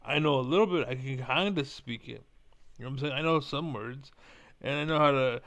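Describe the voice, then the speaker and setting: A man's voice: medium pitch, brisk, a bit bright. A young man with Spanish as his first language, rambling bluntly in a casual monologue and swearing now and then. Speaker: vlogger.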